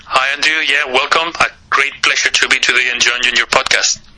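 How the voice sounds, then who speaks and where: A man from Spain with a Spanish accent, a guest answering interview questions over a voice call app, often halting and repeating words. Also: mid-range voice, fairly even intonation, sharp tone, rough recording. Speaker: guest, accelerator partner.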